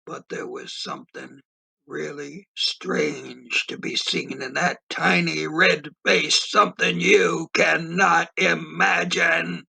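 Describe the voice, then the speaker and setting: A man playing a rambling, easily spooked beachgoer who tells his tale in dramatic, drawn-out audio play narration. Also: on the high side, fairly even intonation, creaky, bright, forceful tone, breathy edge, full character voice. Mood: horrified. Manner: theatrical, drawn-out emphasis.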